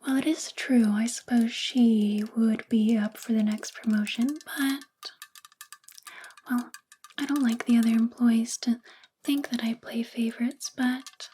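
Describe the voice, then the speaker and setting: A woman plays a possessive, quietly controlling female boss in an ASMR roleplay. Her whisper is breathy and soft, fairly high yet mellow, and she coaxes very slowly and intimately, close to the mic. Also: a little hiss or hum, lightly acted. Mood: coaxing, favoring. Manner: slow, intimate, coaxing. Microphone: close ASMR microphone.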